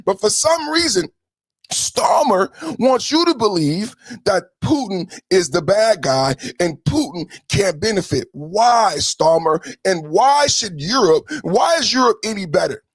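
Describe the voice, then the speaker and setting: A man's voice: high-pitched, sing-song, unhurried, no room sound. An American man giving heated, profane political commentary as a podcast host, repeating himself emphatically. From San Francisco, United States.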